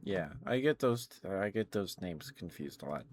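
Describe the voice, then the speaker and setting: A man's voice: low-pitched, lively intonation, moderate pace, a little hiss or hum. A man live streaming casual, rambling ASMR chat about games, often hesitating and correcting himself.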